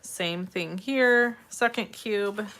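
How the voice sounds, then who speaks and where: A woman with a slightly raspy, congested voice hosts a casual step-by-step craft tutorial, addressing viewers in a friendly, direct way. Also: medium pitch, drawn-out words, fairly bright tone, a little hiss or hum. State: congested, irritated throat from allergies.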